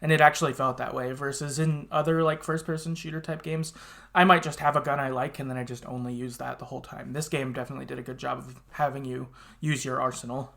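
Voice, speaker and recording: A man's voice: medium pitch, fairly even intonation, fast, creaky, a bit bright. A man hosting a casual gaming podcast, talking informally and often qualifying what he says. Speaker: podcast host.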